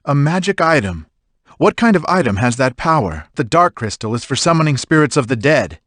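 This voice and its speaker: A lordly military leader from a fantasy video game. His middle-pitched voice moves widely as he weighs each matter with gravity. The sound is close and dry. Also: big pitch swings, brisk, a bit bright, no echo. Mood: pondering. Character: dignified male lord and army commander, deliberating gravely. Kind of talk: scripted video game voice acting.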